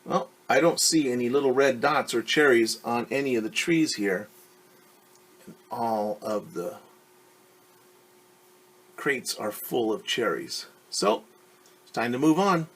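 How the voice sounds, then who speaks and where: A man's voice: mid-range voice, expressive, fairly bright tone, slightly rough, low-quality recording. A male teacher reading a picture book aloud to children, stopping to explain words and ask them questions. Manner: pauses to explain words and quiz young listeners.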